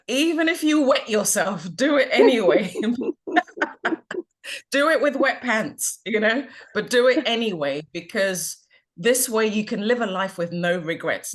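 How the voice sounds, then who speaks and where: A woman from Ghana, a confidence coach, speaking earnestly and reflectively as a guest on a video call. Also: medium pitch. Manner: reflective, earnest and upbeat, laughs at herself. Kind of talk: guest on an online panel chat.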